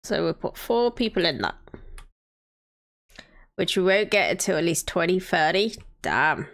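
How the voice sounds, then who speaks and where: A woman's voice: fairly low pitch, very animated, unhurried, vocal fry, a bit bright. A woman in her thirties, a streamer chatting casually and thinking aloud while greeting her viewers by name. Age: 30s. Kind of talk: casual live-stream chat while playing a city-building game.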